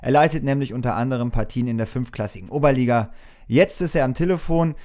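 A man's voice: mid-range voice, fast, darkish tone. A man presenting and putting prepared interview questions in an articulate, formal way.

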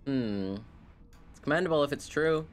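A man's voice: on the high side, lively intonation, normal speed, faint music behind. A man casually commentating on a game live, with playful, chatty asides to his viewers. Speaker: game streamer. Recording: clear background noise. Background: video game sound.

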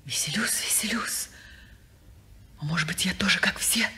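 An actress playing a restless, teasing young woman in her twenties in a 1950s radio play, playful and capricious, dropping to hushed whispers. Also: lowish voice, normal speed. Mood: wistful. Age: 20s.

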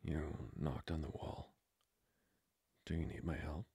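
A flirty but hesitant male vampire played by a man in an ASMR roleplay. His voice is extremely low and whispered, with heavy breath blurring it. He speaks slowly and haltingly, full of restrained hunger. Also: flat delivery, vocal fry, mellow, husky, dry recording, heavily acted. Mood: shy concern.

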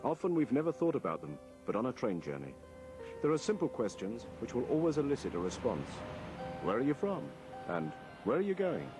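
Male documentary narrator, animated intonation, scripted travel read with music bed. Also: mid-range voice, brisk. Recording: voice-over with music behind.

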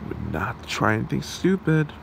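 A man acts out a menacing supervillain in an ASMR roleplay. He gloats possessively and makes theatrical threats in a medium-pitched voice. Faint noise runs under the recording. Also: big pitch swings, moderate pace, creaky. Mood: menacing, gloating. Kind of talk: amateur ASMR roleplay drama. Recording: noisy room hum.

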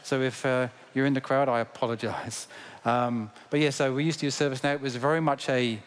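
A man's voice: mid-range voice, steady tone, a little fast, smooth. A man in his fifties, a guest on a conference stage panel, speaking steadily with many ums through a headset mic. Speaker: company tech executive guest. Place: large conference stage before an audience.

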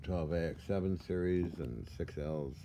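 Low-pitched man, casual and muttering, noisy recording. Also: normal speed. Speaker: radio restorer. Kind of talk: casual hands-on equipment walkthrough. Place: indoor cluttered workshop. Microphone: handheld camera or phone, behind the lens. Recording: noisy handheld recording with room ambience.

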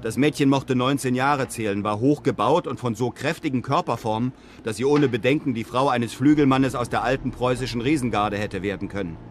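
A man's voice: medium pitch, fairly quick, slight background noise, close to an everyday voice. An actor playing a composed, grave European adventurer who recounts his travels in a radio drama. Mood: composed, narrating. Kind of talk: radio drama narration and dialogue.

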